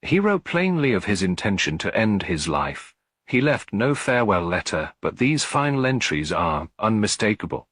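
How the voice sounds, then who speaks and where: A man voicing a calm, shrewd elderly lady detective in an audiobook, with a measured, gentle delivery. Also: lowish voice, breathy edge, dry recording, pitched above the performer's own voice, full character voice. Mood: gentle, reassuring. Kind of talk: audiobook character dialogue.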